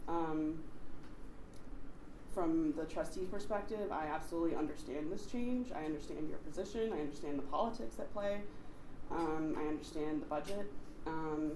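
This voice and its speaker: A hoarse woman with a somewhat low voice speaks as an assistant library director at a board meeting. She is measured and earnest. The recording is echoey, with background hiss. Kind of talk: public comment at a board meeting. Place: town meeting room. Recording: roomy government-access recording with background hiss.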